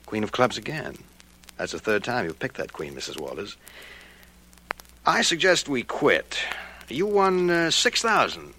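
An actor playing a smooth, wary gambling-ship owner in a 1940s radio drama, speaking in a measured, sardonic way with hesitations. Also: male voice, mid-range voice, huge pitch swings, bright, forceful tone, full character voice. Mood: uneasy, suspicious. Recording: noisy 1940s radio recording.

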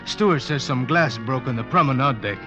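A man's voice: mid-range voice, expressive, brisk, close to an everyday voice. A man acting a respectful young seaman who reports deferentially in a 1950s radio drama. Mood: respectful, urgent. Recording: muffled old radio recording with music.

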